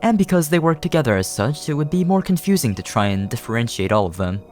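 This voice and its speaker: Man acting a god creature, video game character, lofty, measured, pompous, coolly amused, over music. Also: mid-range voice, sing-song, a little mellow, lightly acted.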